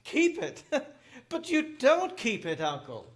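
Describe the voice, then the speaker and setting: Male actor as cheerful young nephew, radio play, high male pitch, very animated intonation, earnest, pleading. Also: takes it slowly, heavily acted. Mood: teasing, cheerful. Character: cheerful, warm-hearted young nephew, earnest and good-natured. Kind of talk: theatrical radio drama acting.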